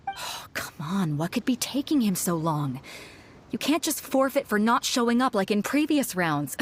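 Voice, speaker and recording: A woman's voice: high voice, pushed and bright, slight background noise, heavily acted. An actress playing a bold, commanding female pirate captain in a video game, talking in a brash, commanding way as she calls the crowd to order. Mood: impatient. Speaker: tournament announcer. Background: game music.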